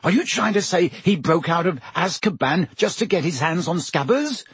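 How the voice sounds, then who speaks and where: A man voices a wary, stubborn teenage boy whose protests come out weak and high. The voice is very husky and lively in pitch. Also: moderate pace, no echo, exaggerated voice. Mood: doubtful. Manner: weak, pleading.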